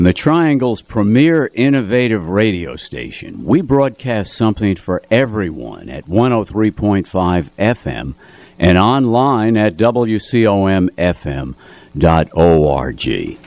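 A man's voice: sits low, very animated, measured pace, a little mellow, dry recording. A man presenting on community radio, between announcing and studio chat.

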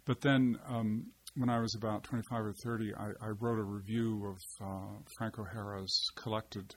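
A fairly low-pitched man with a gravelly, creaky edge speaks slowly and thoughtfully, stopping and restarting as he feels for each phrase. He sits at a table in a relaxed interview, with faint background hiss. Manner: halting, searching for words, many fillers and restarts. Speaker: poet and translator, interview guest. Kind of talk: reflective conversational interview. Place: seated at a table.

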